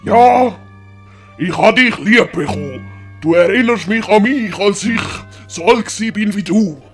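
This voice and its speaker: A woman with a Swiss German accent voicing a scheming, wheedling older great-uncle in an audio story, gravelly and oily. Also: medium pitch, a little slow, faint music behind, close to an everyday voice. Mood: persuasive.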